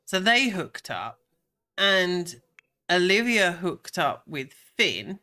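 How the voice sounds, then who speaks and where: A voice, a bit croaky and stuffed up from a cold, talks over a life-sim game. The delivery is slow and unhurried, with a bright, clear edge. The speaker rambles on easily, with plenty of ums and restarts. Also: medium pitch, big pitch swings. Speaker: Sims gameplay creator. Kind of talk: casual gameplay commentary.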